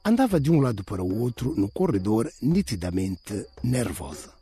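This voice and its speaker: A man's voice: lowish voice, big pitch swings, moderate pace, dark tone. A man reading a scripted radio drama as its dramatic narrator. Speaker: audiobook narrator.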